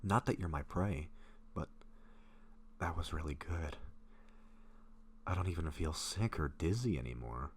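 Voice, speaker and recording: Male actor for lovestruck, gentle vampire in audio roleplay; rock-bottom pitch, halting breathy delivery, some noise behind the voice. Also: expressive, heavily acted. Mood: concerned, surprised, then blissful, dazed. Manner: hesitant, breathy murmurs, halting phrases. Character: gentle, apologetic lovestruck young male vampire, shyly hungry.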